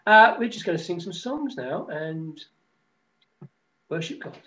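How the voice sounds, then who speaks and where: Brief: croaky man leading worship, high and bouncy voice, joking greeter, heard from a distance on a dull recording. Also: sing-song, unhurried, pushed and bright, a little hiss or hum. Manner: jokey, cheerful greeter. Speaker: worship leader.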